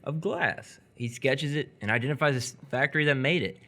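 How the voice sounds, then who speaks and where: A man playing an awkward, polite seventeen-year-old boy in live radio theatre, speaking in a halting, casual, dryly humorous way. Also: medium pitch, bright, forceful tone, natural voice. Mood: awkward, low-key. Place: live stage performance before an audience.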